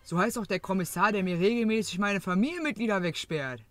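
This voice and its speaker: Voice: medium pitch, fast talker, fairly bright tone, dry recording, lightly acted. A performer playing a coarse, jaded working-class mother, grumbling bluntly in a parody audio drama.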